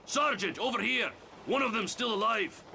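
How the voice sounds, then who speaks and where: A man's voice: high-pitched, animated, normal speed, gravelly, a little hiss or hum, full character voice. A man acting a grumbling, reluctant policeman with a Scottish accent in a motion comic. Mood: alarmed. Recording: loud music and rain behind.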